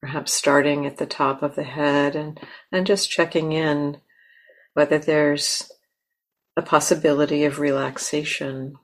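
A woman teaching a guided meditation, speaking gently in short phrases with long pauses between them. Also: deep voice, steady tone.

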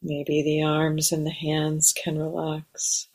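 A woman leading a guided meditation in a gentle, measured way, leaving long pauses. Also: low-pitched, monotone, husky. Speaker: meditation teacher. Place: meditation center.

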